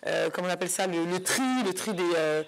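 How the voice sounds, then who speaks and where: A woman's voice: on the low side, fairly even intonation, fast, creaky, low-quality recording. Hesitant woman hosting a talk show. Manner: hesitant, searching for words, repeating phrases. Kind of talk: conversational interviewing.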